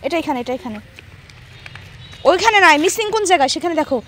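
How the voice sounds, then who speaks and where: A woman's voice: very high-pitched, animated, quick pace, fairly bright tone. A young woman chatting casually with small children and viewers, coaxing with simple, repeated instructions. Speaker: mother filming a family vlog of young children. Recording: clear background noise.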